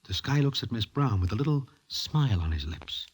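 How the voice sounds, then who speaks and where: A man's voice: fairly low pitch, sing-song, brisk, husky. A man playing a wry, streetwise Broadway narrator in an old-time radio drama, using stilted formal slang. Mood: wry storytelling. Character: wry Broadway guy telling his gambling tale, streetwise and formal.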